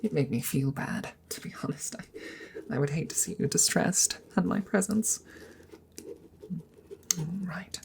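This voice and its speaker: A woman voicing an awkward, courteous female dragon in an ASMR roleplay, speaking softly and haltingly, often dropping into a breathy whisper. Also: sits low, full character voice. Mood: gentle, concerned. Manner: hesitant, halting, formal and polite.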